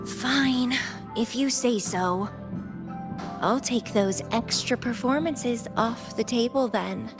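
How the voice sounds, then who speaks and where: A slow, teasing, dangerous temptress. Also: female voice, a little high, over music. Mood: seductive, playful. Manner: teasing, theatrical, unhurried. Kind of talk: video game voice acting.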